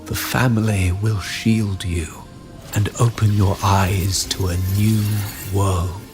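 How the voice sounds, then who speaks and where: A stern patriarch speaks in a very low, slow voice. He is commanding and solemn, in the manner of dramatic game dialogue. Music runs behind him. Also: steady tone.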